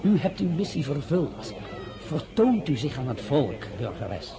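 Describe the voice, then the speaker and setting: A man's voice: on the high side, big pitch swings, a little slow, crowd noise. An actor playing a dignified, fatherly old French general, speaking formally and solemnly. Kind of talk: radio play acting.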